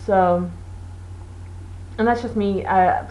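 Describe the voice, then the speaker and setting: A woman's voice: mid-range voice, steady tone, slow, darkish tone, slight background noise, poor audio. A woman whose first language is Portuguese, hosting a knitting show in a casual, rambling chat with many fillers. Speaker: knitting podcast host.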